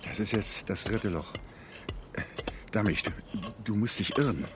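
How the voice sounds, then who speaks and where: Man acting ageing cowboy, lowish pitch, gruff, vengeful, grumbling, Western radio drama, loud music. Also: a little slow, natural voice.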